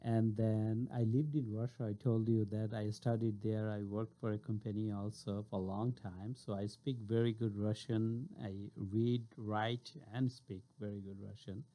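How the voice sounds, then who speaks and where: A man's voice: on the low side, level tone, moderate pace, few pauses, mellow. A man in his forties from Bangladesh, a native Bengali speaker, chatting as a radio guest in short broken phrases and laughing often. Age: 40s. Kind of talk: casual radio chat.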